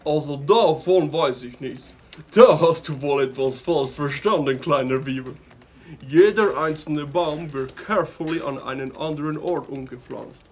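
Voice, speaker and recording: A man's voice: fairly high pitch, unhurried, lightly acted. An actor playing a slick, deceitful businessman in an audio drama, speaking with an English accent and dropping English words in. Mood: smoothly evasive. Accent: English-accented German. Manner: mixes English words into his speech. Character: slick, deceitful businessman, smugly denying wrongdoing.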